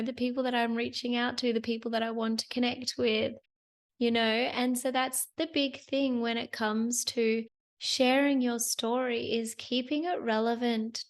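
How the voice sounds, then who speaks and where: A young woman hosts a casual solo talk with a hoarse, worn-out voice. Her pitch sits a little high but barely moves. She talks in a chatty way, repeating phrases and addressing listeners as "you guys.". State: hoarse, lost voice. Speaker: host. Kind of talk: solo business talk to camera.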